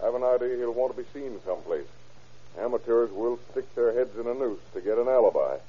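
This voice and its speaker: An actor playing a shrewd, terse police investigator in an old-time radio crime drama, reasoning matter-of-factly. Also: male voice, medium pitch, lively intonation, a little fast, natural voice. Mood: keen, deducing. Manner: matter-of-fact, terse, deductive. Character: shrewd, hard-boiled male police investigator. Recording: muffled old radio recording.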